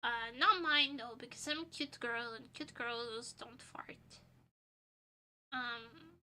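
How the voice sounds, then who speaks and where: A woman's voice: fairly high pitch, slow pace, pushed and bright, a little hiss or hum. A young woman streamer whose first language is Portuguese, chatting casually while tipsy, giggling and rambling with crude jokes. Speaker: vtuber streamer.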